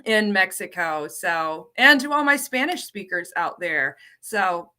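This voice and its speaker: Woman, from the United States, ESL coordinator, chatty, repeats words, bright tense voice. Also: mid-range voice, sing-song, unhurried. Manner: bubbly, repeats words for emphasis, greets viewers. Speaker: ESL program coordinator hosting a livestream. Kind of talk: casual livestream lesson.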